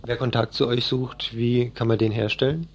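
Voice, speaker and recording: A man's voice: medium pitch, fairly even intonation, normal speed. A man working as interviewer and presenter on a local radio news magazine.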